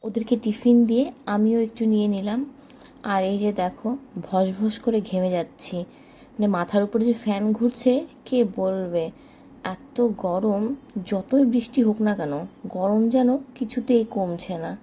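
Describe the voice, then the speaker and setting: A woman's voice: medium pitch, normal speed, mellow, slight background noise. A woman narrating a casual daily household vlog as a homemaker, chatting to her viewers. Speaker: homemaker vlogger.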